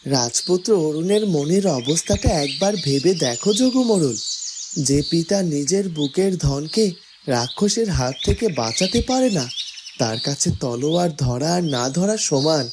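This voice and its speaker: A sorrowful village farmer from a cartoon fairy tale, acted by a man. His voice is high for a man, earnest and lamenting, with noisy birdsong behind it. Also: lively intonation, normal speed, natural voice. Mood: grieving resolve. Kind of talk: cartoon melodrama acting.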